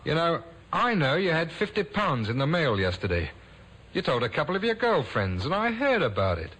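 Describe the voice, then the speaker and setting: An actor playing a smooth-talking young handyman conman with glib, folksy patter, in 1950s radio drama style. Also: fairly high pitch, wildly swooping pitch, moderate pace, a bit bright, exaggerated voice. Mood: menacing. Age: young adult. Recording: noisy old 1950s radio recording.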